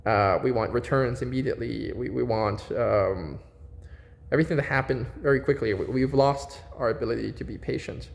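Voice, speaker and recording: A man in his forties talking casually to his viewers, animated and repeating words, with frequent fillers and tag questions. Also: medium pitch, normal speed, creaky. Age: 40s. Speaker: vlogger. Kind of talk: casual monologue to camera. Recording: echoey room.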